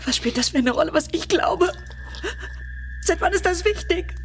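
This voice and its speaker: An actress playing a battered, bitter and despairing wife in a German radio drama, distressed, tearful and accusing. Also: female voice, very high-pitched, lively intonation, normal speed, slight background noise. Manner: anguished, accusing. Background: faint music.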